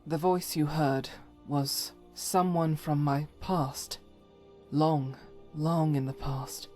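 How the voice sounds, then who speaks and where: A stern, devout female crusader from a fantasy game, formal and solemn with doubt underneath. Her voice sits low and she takes her time over each phrase, with faint music behind her. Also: fairly even intonation, slow, a little mellow. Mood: guarded, resolute. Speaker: voiced follower character in a fantasy game mod. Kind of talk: scripted dramatic game dialogue.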